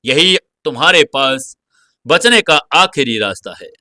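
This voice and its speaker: Male storyteller as police officer, stern, commanding, loud, sharp tone, dry sound, audio story. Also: fairly high pitch, big pitch swings, unhurried, no echo, exaggerated voice. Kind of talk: dramatized audio story dialogue.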